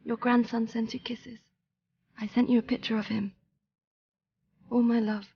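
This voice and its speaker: A woman's voice: a little high, little pitch movement, moderate pace, creaky, husky, no room sound, highs cut off. An actress playing a worried, loving young mother reading a letter to her father in a video game. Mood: anxious, tender. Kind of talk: dramatic letter reading voice-over.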